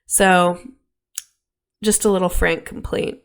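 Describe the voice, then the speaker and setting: A woman co-hosting a podcast in casual chat, husky and a bit sick, chatty and opinionated, laughing often. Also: lowish voice, flat delivery, slow. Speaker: podcast co-host.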